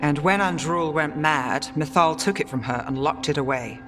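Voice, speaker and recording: A man playing a skeptical, questioning party leader in fantasy game dialogue, speaking deliberately. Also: fairly high pitch, natural voice. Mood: reasoning. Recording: long reverb, faint music.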